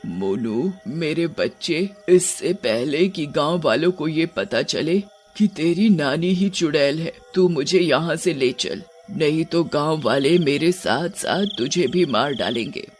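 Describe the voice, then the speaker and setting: A man voicing a cursed old grandmother witch, menacing yet tender, in an animated horror bedtime story. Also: a little high, slow pace, hardly any pauses, soft background music. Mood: urgent, pleading.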